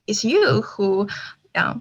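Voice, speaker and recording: A young woman from China with a Chinese accent, a podcast guest speaking hesitantly with halting restarts. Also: medium pitch, very animated, creaky, highs cut off. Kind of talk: interview conversation.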